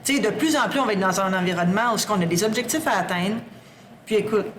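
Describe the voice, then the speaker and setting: A woman's voice: medium pitch, fast talker, fairly bright tone, a little hiss or hum. A woman with a Québécois French accent, a guest talking candidly and at length in a casual podcast conversation, often restarting her sentences. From Quebec. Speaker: guest, sustainability executive.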